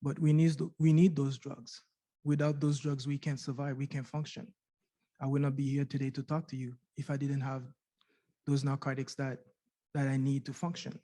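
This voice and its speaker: A man's voice: mid-range voice, little pitch movement, moderate pace, dark tone. A middle-aged man from Canada giving an earnest personal testimony at a conference, repeating words and asking rhetorical questions. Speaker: conference speaker sharing his patient story. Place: university conference room.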